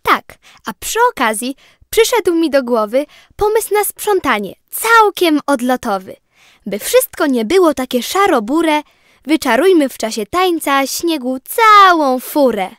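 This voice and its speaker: Bossy, excitable angel child for a rhymed audio play female actor, high voice, deliberate pace, dry studio sound. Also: no echo, heavily acted. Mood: excited. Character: bossy, excited little angel rousing the others.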